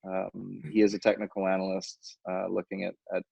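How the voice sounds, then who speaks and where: A man giving a market update on a conference call, halting and full of fillers. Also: deep voice, completely flat delivery, gravelly, warm tone, husky, no echo. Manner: halting, many fillers and restarts. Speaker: financial advisor. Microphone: phone or conference line. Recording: dull lo-fi conference call audio.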